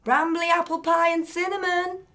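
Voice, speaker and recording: A woman's voice: extremely high pitch, flat delivery, moderate pace, bright, forceful tone, pitched above the performer's own voice. A woman voicing a frank, teasing woman friend in an audiobook, affectionate but blunt and chiding. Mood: accusing.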